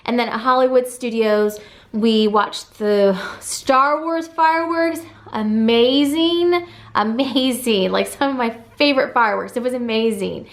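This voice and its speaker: A woman's voice: on the high side, a little slow. A woman in her thirties chatting enthusiastically in a casual vlog recap, full of fillers and asides. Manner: bubbly and enthusiastic, many fillers. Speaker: family travel vlogger.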